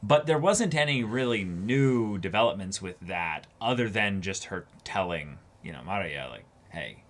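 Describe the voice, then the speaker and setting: A man's voice: on the low side, animated, a little slow. A man co-hosting a casual discussion show, musing aloud in broken, trailing phrases. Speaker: co-host of an anime reaction discussion show.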